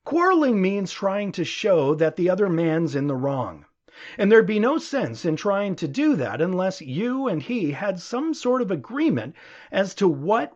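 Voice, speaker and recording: A man reading an essay as an audiobook in a deliberate, expressive, explanatory manner, as scripted narration. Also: sits high. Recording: dull, lo-fi audio.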